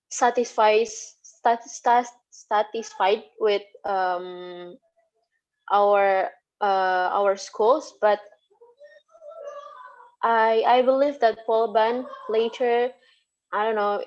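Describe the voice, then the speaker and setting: A woman from Indonesia, a native Sundanese speaker with an Indonesian accent, gives casual interview answers as a lecturer, hesitantly and with repeated words. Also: medium pitch. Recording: dull, lo-fi audio.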